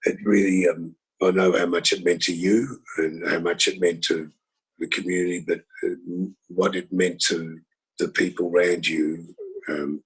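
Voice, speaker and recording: A husky-voiced man reminiscing in a rambling conversational way, with many pauses and fillers. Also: deep voice, level tone, poor audio. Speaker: record label executive.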